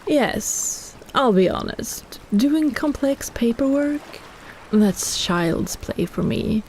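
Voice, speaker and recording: A woman's voice: on the high side, lively intonation, takes it slowly, a little mellow, a little hiss or hum, poor audio. A woman playing a possessive, teasing kraken in an intimate roleplay audio drama, coaxing and condescending as she schemes. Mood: scheming, persuasive.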